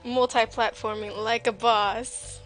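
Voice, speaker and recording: Woman streamer w a slightly high voice, chatting casually n joking around while drawing, music on behind her. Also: level tone, slow, a bit bright, poor audio. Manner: playful, jokey, self-deprecating. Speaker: livestream host, artist.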